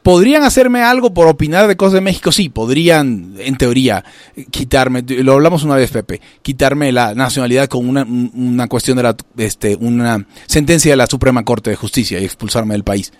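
A middle-aged man from Venezuela hosting a casual talk radio discussion, often restarting and filling pauses as he thinks. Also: mid-range voice, dry recording. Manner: halting, many restarts and fillers. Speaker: radio show host.

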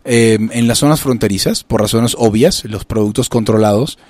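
A middle-aged man from Venezuela hosting a casual talk radio discussion, often restarting and filling pauses as he thinks. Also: fairly low pitch, darkish tone, no echo. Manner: halting, many restarts and fillers. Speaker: radio show host.

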